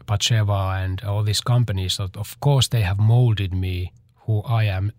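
A man, a choreographer answering interview questions in a halting way as he searches for words. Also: fairly low pitch, fairly even intonation, a little mellow, no echo, studio quality. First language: Finnish.

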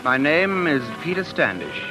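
A man's voice: on the high side, very animated, normal speed, rough recording. A man playing an earnest, dreamy American living in London, speaking intensely and musingly in 1940s radio drama style. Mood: solemn, confiding. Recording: 1940s radio broadcast, faint music.

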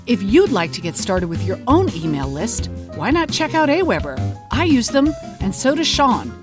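A woman's voice: on the high side, very animated, normal speed, over music. A woman hosting a podcast, talking casually and conversationally. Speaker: podcast host; fiction podcast creator.

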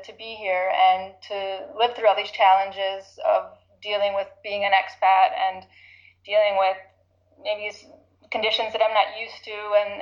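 A woman in her thirties from Chicago, talking reflectively in a casual interview, often pausing with fillers and restarting. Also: medium pitch. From Chicago, United States. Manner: reflective, thinks aloud with frequent fillers and restarts. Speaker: interview guest. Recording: slightly dull lo-fi audio.

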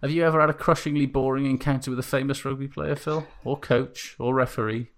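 A man's voice: medium pitch, steady tone, quick pace. A man hosting a podcast, chatting in a wry, casual way and swearing now and then. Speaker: podcast host.

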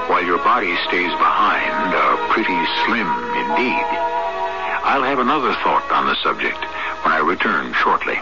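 A man hosting a radio drama with wry, urbane, theatrical scripted narration. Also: deep voice. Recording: muffled old radio broadcast.

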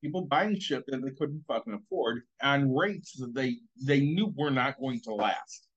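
A man's voice: mid-range voice, animated, normal speed, breathy edge, muffled recording. Skeptical man warning of risk. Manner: skeptical, cautionary, swears. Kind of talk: casual podcast chat.

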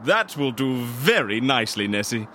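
A man's voice: mid-range voice, sing-song, normal speed, bright, forceful tone, a little hiss or hum. A man playing a polite, eccentric fixer in a comedy audio drama, hesitant and theatrical in his manner. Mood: curious, polite. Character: eccentric, polite, excitable male fixer interviewing a client. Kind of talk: audio fiction comedy acting.

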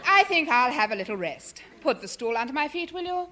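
High-voiced, domineering lady wrestler. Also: sing-song, a little fast, heavy background noise. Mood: imperious. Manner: imperious, theatrical commands. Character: domineering, mighty lady wrestler turned bossy employee. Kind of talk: 1950s radio sitcom acting. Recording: old radio comedy recording.

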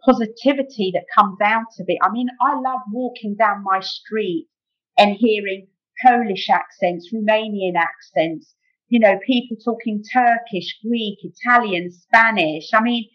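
A woman's voice: medium pitch, unhurried. A middle-aged British woman chatting as an interview guest, peppering her talk with "you know". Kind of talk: casual conversational interview. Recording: muffled, dull audio.

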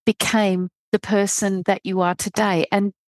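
A woman's voice: mid-range voice, level tone, measured pace, a little mellow, no room sound. A woman hosting a coaching podcast, speaking in a presenter's interview style. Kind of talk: scripted introduction and interview.

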